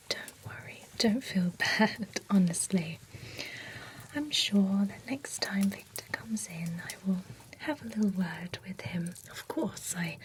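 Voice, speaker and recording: A woman whispering as a chatty female vampire who grooms a werewolf at a spa, soft and reassuring. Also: medium pitch, natural voice, low-quality recording. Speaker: ASMR roleplay performer. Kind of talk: whispered ASMR roleplay.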